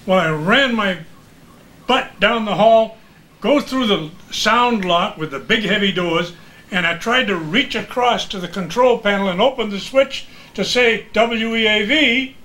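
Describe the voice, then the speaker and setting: An older man reminiscing casually as a former radio broadcaster, an animated storyteller who acts out the exchanges he remembers. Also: high voice, a little slow. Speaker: retired radio broadcaster recalling his career.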